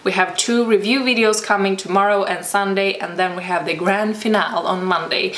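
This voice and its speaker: A woman's voice: mid-range voice, steady tone, brisk, fairly bright tone. A young woman from Sweden, a native Swedish speaker with a Swedish accent, answering viewer questions in a casual vlog, thoughtful and hesitant as she searches for words. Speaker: vlogger and personal trainer. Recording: echoey room with faint ambient noise.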